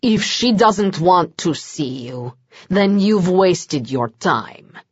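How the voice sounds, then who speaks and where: A woman voicing a stern, quick-tempered male vampire lord in an audiobook, clipped and menacing. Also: a little high, lively intonation, no room sound, dull audio, voice pushed down. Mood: threatening.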